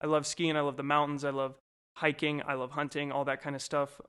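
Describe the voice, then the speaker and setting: Young male co-host, twenties, smooth clear voice, relaxed rambling chat. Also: on the high side, a little fast. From Colorado, USA. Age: 20s. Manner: rambling, easygoing, lots of fillers. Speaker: podcast co-host. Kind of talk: casual chat.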